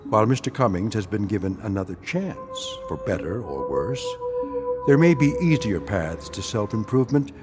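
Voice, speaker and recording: Deep voiced narrator guy, grave n measured, spooky radio anthology, music under him. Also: big pitch swings. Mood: wry, grave. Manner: measured, portentous. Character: grave, measured male narrator of eerie tales.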